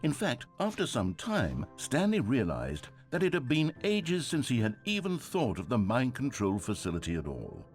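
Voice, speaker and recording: An actor playing a wry, theatrical storybook narrator in a video game. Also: male voice, mid-range voice, wildly swooping pitch, moderate pace, hardly any pauses, soft background music. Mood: wry, dramatic. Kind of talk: scripted narration.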